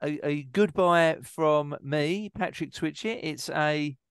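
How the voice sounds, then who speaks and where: A jovial middle-aged man co-hosts a podcast over a headset, bantering and steering the talk to a close. His voice is fairly high, smooth and resonant. The sound is dry, with no room echo. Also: takes it slowly. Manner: jovial, wraps things up with a catchphrase. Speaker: podcast co-host. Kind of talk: casual podcast chat. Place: video call.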